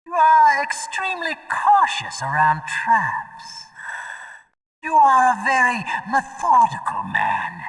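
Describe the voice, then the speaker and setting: An actor playing a menacing, taunting psychic villain in a video game, speaking in a theatrical, drawn-out way. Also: male voice, very high-pitched, wildly swooping pitch, vocal fry, in a large hall, heavily acted, rough recording. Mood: taunting, probing. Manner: theatrical, drawn-out, swooping from loud cries to whispers.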